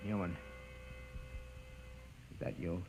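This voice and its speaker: An actor playing a doomed, weary man in a 1950s radio drama, halting and dramatic in his speech. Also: natural voice. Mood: grim, resigned. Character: doomed, weary man facing humanity's end. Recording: noisy old radio recording.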